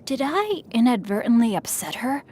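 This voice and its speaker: A woman voicing a refined, courteous young male swordsman and scholar in a game, speaking politely and formally with a gently teasing edge. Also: on the high side, very animated, normal speed, slight background noise. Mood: polite, composed. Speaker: game character voice lines. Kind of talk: scripted game voice lines.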